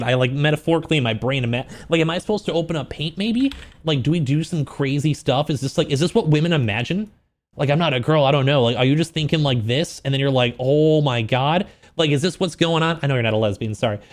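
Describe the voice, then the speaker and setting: A man livestreaming in a casual, slangy, joking way, with an animated, incredulous manner as he reacts. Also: on the high side. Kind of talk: casual livestream reaction with book read-outs.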